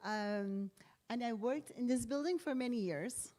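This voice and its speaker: A woman with a French accent introducing herself hesitantly, with many pauses and fillers. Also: on the high side, warm tone. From France. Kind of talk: casual self-introduction.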